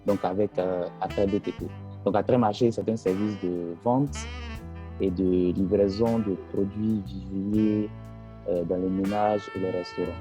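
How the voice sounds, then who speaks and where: A man with an Ivorian French accent, haltingly introducing himself as a panelist in a webinar. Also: low voice, steady tone, mellow, soft background music. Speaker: panelist, communicator and head of a delivery business.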